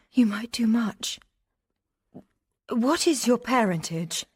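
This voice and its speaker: A woman's voice: a little high, animated, husky, no room sound, heavily acted. An actress playing a proud, witty young noblewoman with an arch, haughty, self-possessed manner, in a Shakespearean radio drama. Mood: intrigued, composed. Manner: arch, dry wit, measured.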